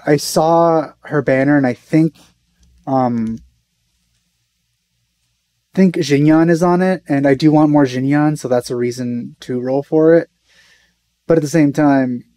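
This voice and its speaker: A man's voice: medium pitch, unhurried, pauses often, dark tone, no room sound. A young man giving casual, understated reaction commentary as a video host, musing and hesitant as he goes. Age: young adult.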